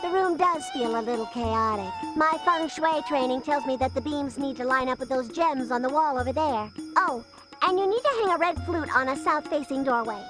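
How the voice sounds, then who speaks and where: A woman's voice: high-pitched, moderate pace, over music, lightly acted. A woman voicing a sassy, cute little sister creature in a cartoon video game. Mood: delighted, chirpy.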